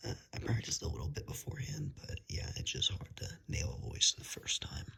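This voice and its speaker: A man's voice: brisk, bright, forceful tone. A man whispering casually to viewers as an ASMR creator, self-deprecating, recorded on a phone's built-in microphone. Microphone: phone, no external microphone.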